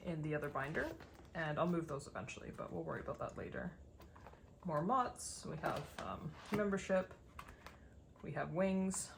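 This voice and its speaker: A woman with a low voice that sounds a little dry and gravelly talks through her collection in an unscripted, casual way. She wanders from thought to thought, reasoning aloud as she sorts. Some background noise sits behind her voice. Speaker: collector giving a binder tour. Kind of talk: casual unscripted show-and-tell commentary.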